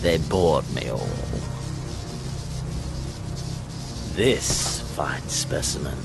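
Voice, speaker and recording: An actor playing a bloodthirsty, grandiose imperial warlord prince obsessed with the hunt, in a theatrical video game style with archaic, formal phrasing. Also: male voice, very deep voice, expressive, slow pace, gravelly, full character voice. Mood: brooding reverence. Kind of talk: dramatic video game villain acting. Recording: dramatic orchestral music behind.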